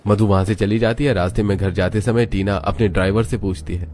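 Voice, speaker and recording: Male story narrator, lowish pitch, dark relaxed timbre, scripted storytelling. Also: fairly quick.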